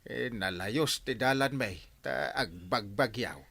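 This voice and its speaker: An actor with an Ilocano accent playing an easygoing village captain who laughs often in a radio drama. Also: male voice, mid-range voice, takes it slowly, gravelly, sharp tone, husky, no echo, heavily acted. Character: jovial middle-aged male village captain. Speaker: barangay captain.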